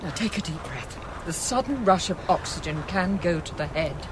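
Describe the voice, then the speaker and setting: A woman's voice: on the low side, lively intonation, moderate pace, close to an everyday voice. A woman playing an anxious, insistent keeper of a collection in a radio play, urgent and pleading, with a British accent. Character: anxious insistent woman guarding a cursed picture. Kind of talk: radio drama acting. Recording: noisy background under dialogue.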